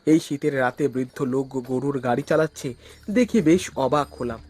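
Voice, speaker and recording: A man's voice: medium pitch, moderate pace, a little mellow, breathy edge. A man narrating a spooky cartoon story in the first person. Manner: stammers nervously when frightened. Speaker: narrator and lead character.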